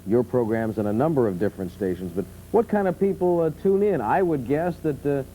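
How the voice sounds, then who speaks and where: Dark-toned male talk show host. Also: medium pitch, animated, fairly quick. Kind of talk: conversational interviewing. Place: television studio with audience. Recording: muffled 1970s television tape with hum.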